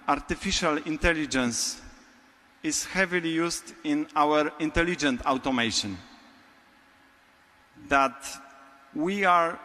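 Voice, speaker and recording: Slow Polish-accented man in a hall. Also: on the high side, pushed and bright. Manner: slow, deliberate delivery with long pauses between phrases. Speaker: conference speaker. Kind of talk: prepared keynote speech. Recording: long hall reverb.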